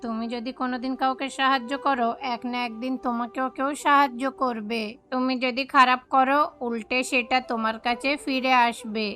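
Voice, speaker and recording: A woman voicing a calm, wise male hermit crow who preaches in a cartoon moral story. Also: sits high, moderate pace, few pauses. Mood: preaching.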